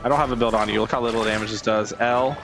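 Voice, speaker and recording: A man's voice: on the low side, steady tone, rapid-fire. A man giving casual gameplay commentary as a streamer, muttering to himself as he plays. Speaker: video game streamer. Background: game music.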